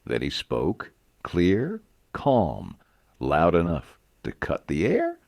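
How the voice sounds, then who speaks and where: A man's voice: sits low, huge pitch swings, slow pace, darkish tone, dry recording, studio quality. A man narrating a scripted Western story dramatically, in short, suspenseful phrases.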